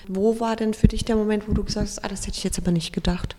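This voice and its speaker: A woman's voice: medium pitch, fairly even intonation, fast, warm tone, long reverb tail. A woman interviewing a guest, asking curious, conversational questions.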